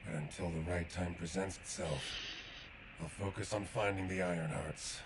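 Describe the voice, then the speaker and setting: An actor playing a grave, devoted warrior in a sci-fi video game, speaking in an earnest, measured way. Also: male voice, sits low, steady tone, background noise, lightly acted. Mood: grateful, solemn. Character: grave, devoted male sci-fi warrior grieving lost comrade. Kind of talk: video game quest dialogue.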